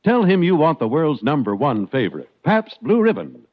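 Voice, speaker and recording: A man's voice: on the high side, big pitch swings, moderate pace. An American man announcing a sponsor's message in 1940s radio style, emphatic and salesmanlike. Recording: muffled old radio, loud music.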